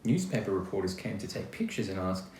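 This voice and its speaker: Expressive man reading a storybook, lively pitch. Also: fast talker. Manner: expressive, acts out quoted lines, chatty asides. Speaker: storybook reader. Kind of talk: read-aloud of a children's picture book with asides. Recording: roomy echo, faint background noise.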